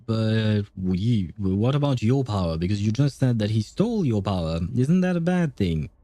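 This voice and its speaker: A man's voice: on the low side, very animated, moderate pace, dry recording. A man livestreaming a video game with casual, chatty commentary, thinking aloud as he plays. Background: soft game music.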